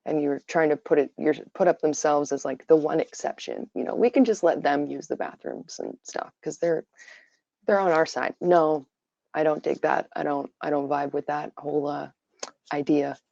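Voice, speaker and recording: A tired woman with a raspy voice, giving her opinions on a casual livestream full of fillers. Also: low voice, fairly even intonation, normal speed, mellow, dry recording. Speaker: livestream host. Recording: dull lo-fi stream audio.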